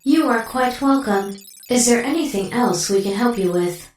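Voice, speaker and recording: An actress playing a coolly clinical artificial intelligence in an audio drama, speaking in precise, formal, detached phrases. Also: female voice, on the high side, expressive, moderate pace, a little mellow, roomy sound, recorded from a distance, soft background music, close to an everyday voice. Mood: matter-of-fact. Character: coolly clinical female artificial intelligence delivering blunt data.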